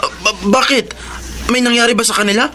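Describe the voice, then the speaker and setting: A man's voice: extremely high pitch, steady tone, a little fast, background noise. An actor playing a self-conscious, loving teenage boy in a radio drama, earnest and plaintive. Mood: distraught. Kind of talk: Filipino radio drama acting.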